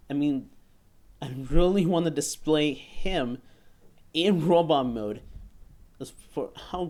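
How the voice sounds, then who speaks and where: Mellow-voiced young man reviewing casually. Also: on the high side. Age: 20s. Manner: enthusiastic, halting with repeated words. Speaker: toy reviewer. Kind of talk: casual hands-on product review. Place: small bedroom, indoors.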